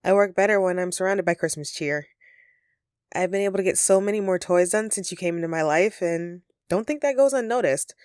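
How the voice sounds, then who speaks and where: Low-voiced sheepish Christmas elf woman, played by an actress. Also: brisk, darkish tone, smooth, dry recording, studio quality, close to an everyday voice. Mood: grateful, affectionate. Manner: hesitant, sheepish, conversational and earnest. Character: flustered, friendly female Christmas elf, secretly living as roommate. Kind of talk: audio roleplay addressed to the listener.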